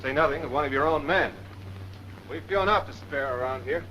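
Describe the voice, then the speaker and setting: An actor playing a stern but patient army air force major in a 1940s film, measured and explanatory. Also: male voice, fairly high pitch, sharp tone. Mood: grave. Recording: noisy 1940s film soundtrack.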